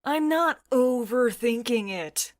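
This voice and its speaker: A woman's voice: on the high side, animated, slow, dry recording, voice pushed up. A woman voicing a sarcastic, anxious young transfeminine friend, sceptical and a little whiny, in an audio story.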